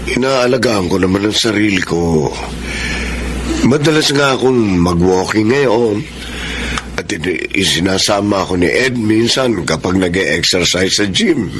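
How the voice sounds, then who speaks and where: A man's voice: fairly low pitch, lively intonation, unhurried, background noise. A man acting an older, apologetic and evasive godfather in a Tagalog radio drama. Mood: uneasy, evasive.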